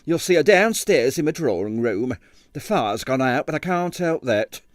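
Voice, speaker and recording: A man's voice: fairly high pitch, big pitch swings, normal speed, no echo, clean studio sound, voice pushed up. A man voicing a prudish, respectable landlady who speaks in a firm, disapproving, clipped manner for a storybook. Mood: prim outrage.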